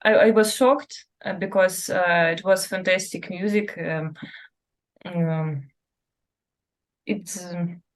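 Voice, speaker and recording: A woman's voice: lowish voice, takes it slowly, long pauses. A Belarusian-born woman cellist whose first language is Russian, answering interview questions and searching for English words as she goes.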